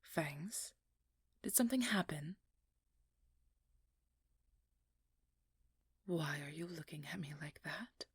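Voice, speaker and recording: A woman playing a determined, wounded vampire hunter in an ASMR roleplay, with a breathy, husky voice that often drops near a whisper and an intimate, intense delivery. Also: deep voice, animated, moderate pace, no echo, exaggerated voice. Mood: wary, guarded. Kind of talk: ASMR roleplay audio drama.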